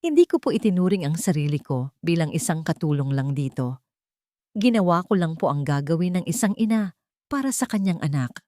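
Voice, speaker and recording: A woman voicing a gentle, humble nanny in an audio drama, speaking softly, calmly and respectfully. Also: lowish voice, brisk, dry recording, studio quality. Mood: humble, tender. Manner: soft, respectful, calm. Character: gentle, humble young nanny, soft-spoken and forgiving.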